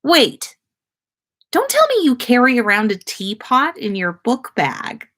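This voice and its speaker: A friendly, curious schoolgirl played by a woman reading aloud, pitched up and eager. She has a playful, easily flustered way of talking, suited to a storybook character. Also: huge pitch swings, a little slow, sharp tone, full character voice.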